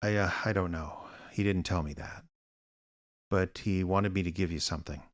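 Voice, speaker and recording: An actor playing an older, wry private eye with a dry, sardonic, kindly manner in a radio drama. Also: male voice, deep voice, normal speed, close to an everyday voice. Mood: gentle, earnest. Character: aging wry small-town private eye, gruff but kindly. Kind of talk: radio drama acting with narration.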